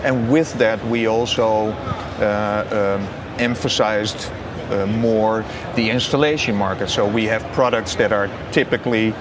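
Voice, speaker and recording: Man interviewed amid clear background noise. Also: on the low side, fairly even intonation, unhurried. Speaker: company business strategist being interviewed. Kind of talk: interview answers. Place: open booth in a large busy exhibition hall. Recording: noisy trade show hall ambience.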